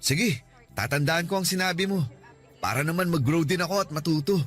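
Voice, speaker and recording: A shy, sincere young man who talks softly and earnestly, as an actor plays him, his voice a touch above the usual pitch for a man. He speaks in a classic radio-drama style. Music runs under his lines. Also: fairly quick, natural voice.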